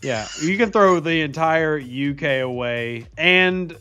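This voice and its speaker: A man's voice: on the high side, slow, sharp tone, clean tone. A man in casual stream banter, giving blunt, opinionated rants. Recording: faint music behind.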